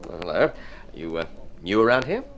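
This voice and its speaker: A man's voice: low voice, sing-song, moderate pace. An actor playing a breezy, talkative, idle young male painter in a hearty, theatrical old radio drama style. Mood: breezy, amused. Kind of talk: vintage radio drama acting.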